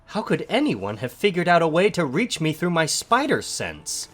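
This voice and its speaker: A man's voice: fairly high pitch, huge pitch swings, moderate pace, a bit bright, soft background music, full character voice. A man voicing a cocky, wisecracking young superhero in a comic book dramatic reading, boyish, breezy and slangy. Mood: eager, surprised.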